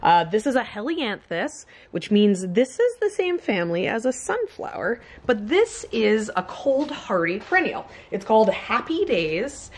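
A woman's voice: a little high, sing-song, unhurried, few pauses, slight background noise. A woman in her thirties with a Canadian accent, chatting to camera in a casual, rambling and enthusiastic way as she unboxes stock in a garage. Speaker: flower farmer and vlogger. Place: indoors in a large cluttered garage workshop.

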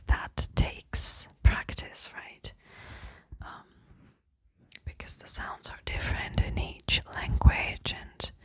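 A young woman from the Czech Republic, whispering ASMR chat into a small fluffy mic held at her lips, her accent a mix of American and British. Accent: mixed American and British, picked up from media. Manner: soft whispering close to the mic, chatty and rambling. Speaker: ASMR creator. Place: small room in front of a bookshelf. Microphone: fluffy mini mic held at the mouth.

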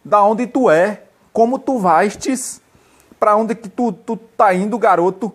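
A man's voice: high-pitched, takes it slowly, voice pushed up. A young man voicing a nagging Northeastern Brazilian mother, in a sing-song, exclaiming Northeastern Brazilian accent.

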